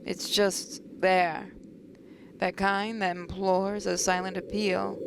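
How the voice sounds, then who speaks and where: A woman's voice: mid-range voice, little pitch movement, takes it slowly, vocal fry, background noise. A woman hosting a gaming video, reading a visual novel aloud with asides and occasional stumbles. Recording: voice over game music.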